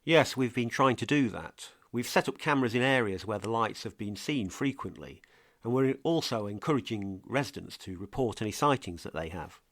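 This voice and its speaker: A man presenting a scripted true crime mystery podcast as an earnest investigator, with a measured, portentous delivery. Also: lowish voice, animated, a bit bright, no room sound, studio quality. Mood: earnest, curious.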